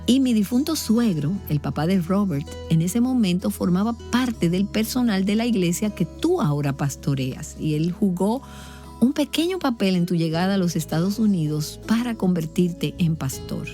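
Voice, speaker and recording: A woman hosts a podcast interview, chatting in a friendly, conversational manner. Her voice is mellow and warm at a middle pitch. Light music sits faintly behind her. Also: expressive, normal speed. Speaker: podcast host. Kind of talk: interviewing a guest.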